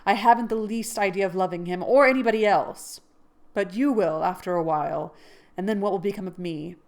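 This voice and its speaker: Woman as a frank spirited young woman, earnest, raised voice, a bit higher, emphatic. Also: brisk, lightly acted.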